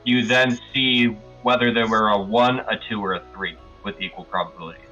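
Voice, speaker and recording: A man's voice: fairly low pitch, steady tone, a little fast, sharp tone, highs cut off, faint music behind. A man casually explaining dice rules as a player in a tabletop game over a voice call. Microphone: online voice call.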